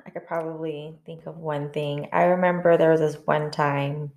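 Low-voiced woman telling stories. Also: moderate pace. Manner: relaxed storytelling with many fillers. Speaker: podcast co-host. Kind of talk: casual chat.

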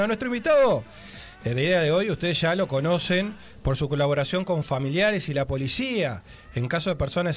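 Guy hosting radio, kinda high voice, lots of ehs and restarts. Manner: hesitant, many filler sounds. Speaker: radio host. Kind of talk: casual radio interview.

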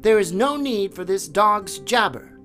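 A man voicing a wild boy raised by wolves, crying out high and defiant. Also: lively intonation, measured pace, fairly bright tone, soft background music. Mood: furious.

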